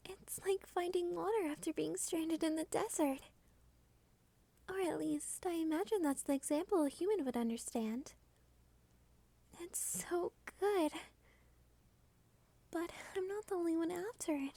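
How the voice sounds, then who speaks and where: Woman playing a high-voiced, possessive cute vampire girl. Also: mellow, dry recording. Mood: blissful, explaining. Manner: slow, teasing delivery slipping into breathy whispers. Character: cute possessive female vampire, starved, doting, domineering. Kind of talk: ASMR roleplay, close and intimate, often whispered. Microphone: close studio microphone.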